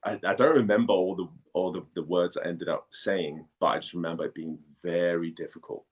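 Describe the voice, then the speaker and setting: A man in a casual interview, telling stories in a chatty, halting way and often rephrasing as he goes. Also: low voice, steady tone, husky. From Denmark. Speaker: voice actor and model, interview guest.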